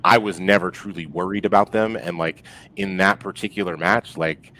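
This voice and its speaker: A man giving football opinions on a casual podcast, in a halting way with repeated restarts. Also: sits low, steady tone, fairly bright tone, a little hiss or hum, low-quality recording. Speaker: podcast guest, football fan. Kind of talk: casual sports podcast chat.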